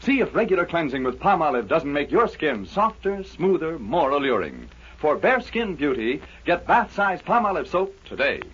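A man's voice: a little high, very animated, normal speed, a bit bright, slight background noise. A man reading a 1940s radio advertisement. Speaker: commercial announcer. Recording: dull vintage radio recording.

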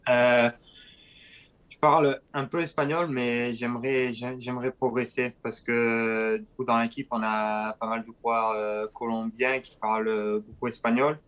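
A young man with a slight southern French accent, answering interview questions in a hesitant way with many pauses and fillers. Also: medium pitch, flat delivery, fairly bright tone, smooth. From southern France. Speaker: guest, pro cyclist.

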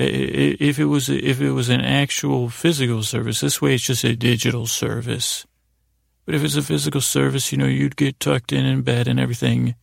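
A man improvising a rambling, whimsical bedtime monologue as a host, halting and stammering, often repeating his words. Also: mid-range voice, gravelly, dry recording, clean studio sound. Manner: whimsical, lightheartedly silly. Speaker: podcast host telling bedtime stories.

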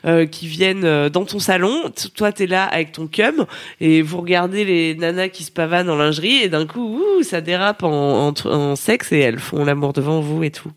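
A woman who works as a sex columnist chats on a podcast. She tells stories in a lively, rambling way, with plenty of jokes. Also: sits low, moderate pace. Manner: lively, rambling storyteller, jokey. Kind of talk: casual chat.

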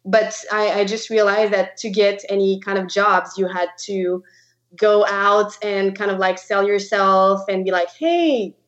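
A woman in her thirties with a Québécois French accent, answering interview questions in English with many pauses and restarts as she searches for words. Her audio is slightly dull and muffled, as if from a remote connection. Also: mid-range voice, steady tone. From Quebec, Canada. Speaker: guest photographer. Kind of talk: podcast interview.

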